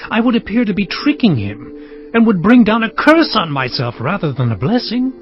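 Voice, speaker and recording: A man's voice: sits high, very animated, moderate pace. A man playing a worried, hesitant younger son in a dramatized scripture, protesting anxiously. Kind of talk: dramatized audio scripture acting. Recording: dull muffled audio with music.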